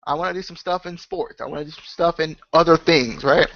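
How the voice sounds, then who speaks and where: A man's voice: fairly high pitch, a little fast, a bit bright, highs cut off. A man with a cold hosting a casual solo podcast, nasal and congested, rambling with lots of fillers and restarts. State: stuffed up with a cold. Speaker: podcast host. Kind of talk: casual solo chat to subscribers.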